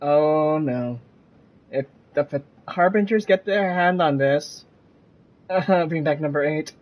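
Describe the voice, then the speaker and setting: A man's voice: fairly high pitch, slow, muffled recording. A man giving casual live commentary as he streams a video game. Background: game music.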